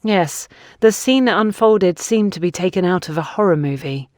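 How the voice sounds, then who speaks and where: A woman's voice: on the low side, animated, dry recording, clean studio sound, natural voice. Tactful, guarded younger woman played by a narrator. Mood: musing. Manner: measured, careful, polite.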